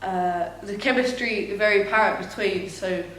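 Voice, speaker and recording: A woman's voice: medium pitch, normal speed, a bit bright, far from the mic. A young woman chatting on a podcast, thinking aloud in a reflective way. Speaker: podcast co-host, actor. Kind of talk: casual chat. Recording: very echoey room, faint noise.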